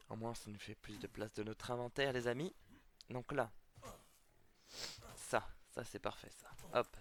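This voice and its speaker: A man's voice: mid-range voice, slight background noise. A man with a slight cold chatting casually to viewers while he plays a video game, hesitant and full of fillers. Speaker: gameplay video host. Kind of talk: casual let's-play commentary to viewers.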